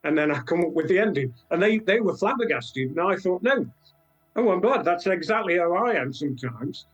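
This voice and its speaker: Higher-voiced British man, halting and reflective. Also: lively intonation, highs cut off, faint music behind. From Britain. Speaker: guest author. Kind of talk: conversational interview.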